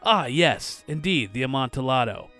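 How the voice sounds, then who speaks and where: Scheming gentleman killer male reader, polite mockery, forceful tone, light music behind. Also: mid-range voice, big pitch swings, normal speed. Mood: cold mockery. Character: coolly scheming murderous gentleman feigning polite concern. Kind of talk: dramatic short story reading.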